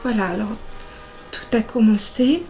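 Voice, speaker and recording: Actress as a coquettish high-voiced woman stalling the police. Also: measured pace, warm tone, soft background music, close to an everyday voice, rough recording. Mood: coy. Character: coy woman suspect stalling an interrogation. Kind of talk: stage actor in filmed interrogation scene. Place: dim office with bookshelves.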